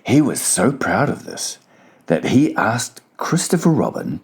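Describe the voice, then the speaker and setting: A man's voice: medium pitch, big pitch swings, measured pace, breathy edge. A man narrating a children's storybook as a dramatized audiobook.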